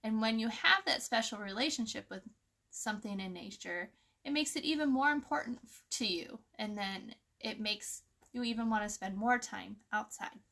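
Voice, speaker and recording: A woman's voice: fairly high pitch, normal speed, creaky, pushed and bright. A woman hosting a children's story time, explaining things informally and putting questions to her young listeners. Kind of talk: informal nature lesson for children, with read-aloud.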